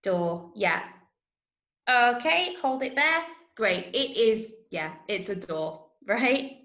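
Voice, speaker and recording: A woman's voice: a little high, expressive, takes it slowly, bright, forceful tone. English lady teaching an online drama workshop, really encouraging, roomy echoey sound. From Manchester, England. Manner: encouraging, praising, checking understanding. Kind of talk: interactive online lesson. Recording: roomy, echoing online class audio.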